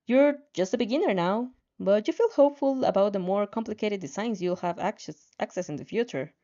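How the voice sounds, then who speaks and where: A woman's voice: medium pitch, expressive, a little fast, dark tone, muffled recording. A woman reading a story aloud, often stumbling and repeating words as she narrates. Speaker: fan fiction narrator.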